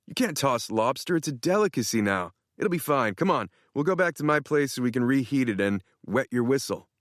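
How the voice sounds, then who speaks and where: A man's voice: medium pitch, expressive, brisk, no room sound, clean studio sound, pitched above the performer's own voice, lightly acted. A man voicing a boastful, eccentric older man who talks with brash self-assurance. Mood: bossy.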